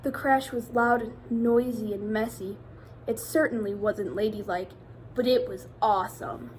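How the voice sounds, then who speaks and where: A woman's voice: fairly high pitch, steady tone, measured pace, a little hiss or hum, rough recording. A woman reading a picture book aloud to children, expressively, addressing them directly with questions like a teacher.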